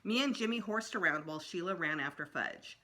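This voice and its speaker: Bright, animated woman reading aloud. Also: fairly low pitch, a little fast. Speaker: storytime reader. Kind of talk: children's book read-aloud, expressive narration.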